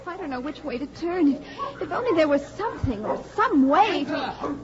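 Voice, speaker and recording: A woman's voice: sits high, expressive, normal speed. An actress playing an anxious, earnest young college woman in a 1940s radio drama. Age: young adult. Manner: pleading, earnest. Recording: muffled old radio, loud crowd and dogs.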